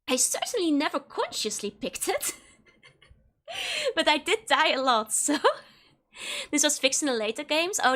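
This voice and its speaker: High, bright-voiced giggly Dutch woman. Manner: giggly, laughs often between remarks. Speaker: streamer. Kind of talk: casual live gameplay chat.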